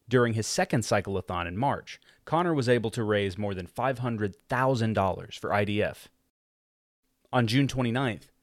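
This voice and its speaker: A man hosting a podcast and reading a scripted introduction in an even, formal way. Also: on the low side, no room sound, clean studio sound. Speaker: podcast host.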